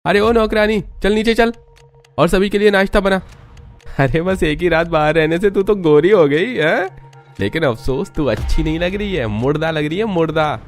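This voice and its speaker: A man's voice: fairly high pitch, normal speed, soft background music, pitched above the performer's own voice, close to an everyday voice. A man voicing a cruel young brother who sneers and mocks in a cartoon story. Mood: mocking. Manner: sneering, mocking. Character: cruel taunting young brother mocking his stepsister.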